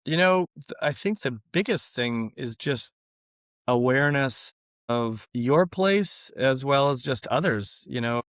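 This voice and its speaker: A man's voice: mid-range voice, animated, unhurried, no room sound, clean studio sound. A middle-aged man with an American accent, chatting casually as an interview guest, whose first language was Yiddish. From born in Lithuania, grew up in Russia and the US Midwest. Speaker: interview guest, company president. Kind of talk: casual chat.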